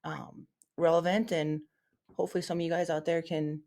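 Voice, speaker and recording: A woman's voice: sits low, flat delivery, normal speed, a little mellow. A woman co-hosting a podcast in casual chat, rambling and circling back as she talks. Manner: rambling, full of ums and restarts. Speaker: podcast co-host.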